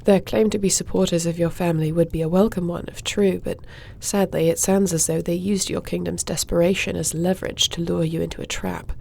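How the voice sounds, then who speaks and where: A woman playing a guarded, dutiful young warrior princess in a fantasy audio roleplay, speaking formally and gently. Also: fairly low pitch, steady tone, a little fast, gravelly, hardly any pauses. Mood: calm, probing. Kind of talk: audio roleplay fantasy acting, addressing listener.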